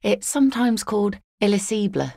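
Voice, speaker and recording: A woman's voice: medium pitch, sing-song, moderate pace, gravelly, very noisy. An actress voicing a blunt, weathered fisherwoman who picks nets, speaking game voice lines in a dry, sardonic way. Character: tough weathered fisherwoman net picker, blunt. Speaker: game character.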